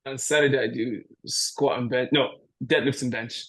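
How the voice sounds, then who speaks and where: Guy from nigeria, powerlifter, chatting easy and jokey on a video call, mid pitched voice. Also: fairly even intonation, normal speed, a bit bright. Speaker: powerlifter, podcast guest. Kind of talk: casual interview chat. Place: indoor room at home, video call. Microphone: video call, device at a short distance.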